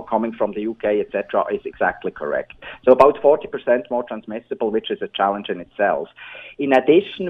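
Husky Swiss-accented man, muffled line. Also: medium pitch. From Switzerland. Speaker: doctor and public health adviser interviewed as expert. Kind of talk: explanatory radio interview.

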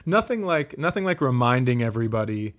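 A man, a comedian co-hosting a podcast, trading casual banter with dry, quick quips. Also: mid-range voice, sing-song.

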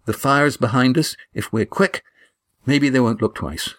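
A man voicing a quick-thinking fugitive stretcher bearer in an audiobook, urgent and decisive. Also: medium pitch, a bit bright, no echo, voice pushed up, close to an everyday voice.

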